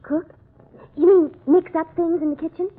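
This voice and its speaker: A woman's voice: very high-pitched, fairly even intonation, moderate pace. An actress playing a coy, ditzy young showgirl with a cutesy, gushing baby-doll manner in an old-time radio comedy. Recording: tinny, noisy vintage radio recording.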